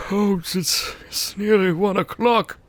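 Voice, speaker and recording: A man playing an excitable, loyal doctor sidekick in a radio play, flustered and prone to startled exclamations. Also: high-pitched, slow pace, soft background music. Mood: weary, uneasy. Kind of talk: community radio theater acting.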